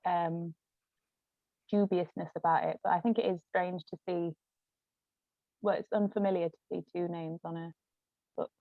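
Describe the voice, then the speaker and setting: A woman's voice: on the low side, steady tone, normal speed, long pauses, no room sound, narrow, tinny audio. A woman in casual conversation as an author, tentative and searching for her words. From Britain. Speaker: author, co-writer of a novel.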